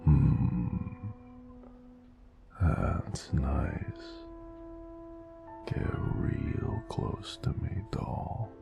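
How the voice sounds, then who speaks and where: A man's voice: big pitch swings, very slow, long pauses, over music, exaggerated voice. A man playing a weary, teasing, affectionate noir mobster in an intimate romance audio roleplay, murmuring and sighing in old-time gangster slang. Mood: tender, drowsy. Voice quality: very deep, gravelly, breathy murmurs.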